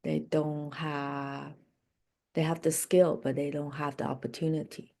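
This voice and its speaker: Low-pitched woman coach with unhurried delivery. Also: little pitch movement, warm tone. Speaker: life and business coach. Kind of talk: motivational coaching session.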